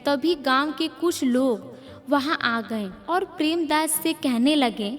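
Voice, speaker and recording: Female story narrator, scripted delivery, very high pitch, reverberant sound over background music. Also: takes it slowly. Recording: long reverb, music behind.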